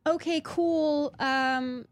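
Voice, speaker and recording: A woman's voice: high-pitched, steady tone, drawn-out words. Candid, rambling woman. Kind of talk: casual chat.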